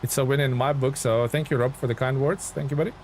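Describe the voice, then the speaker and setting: A man talks casually to viewers while playing a game. His voice sits at an average pitch, and he is friendly and informal, often thinking aloud. Truck and engine sounds from the game are clearly heard behind him. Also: steady tone, quick pace, darkish tone, slight background noise. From Lithuania. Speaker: video game livestreamer. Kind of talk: casual gaming stream chat and commentary.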